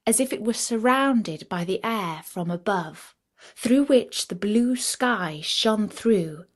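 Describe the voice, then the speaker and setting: A woman reading a fairytale as a storyteller, in an expressive, measured storybook manner. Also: medium pitch. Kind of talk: scripted fairytale narration.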